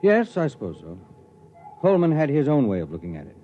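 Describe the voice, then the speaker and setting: An actor playing a weary, pragmatic spaceship commander in a 1950s radio drama, matter-of-fact and wry. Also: male voice, medium pitch, wildly swooping pitch, brisk. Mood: weary, musing. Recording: dull, noisy vintage radio recording.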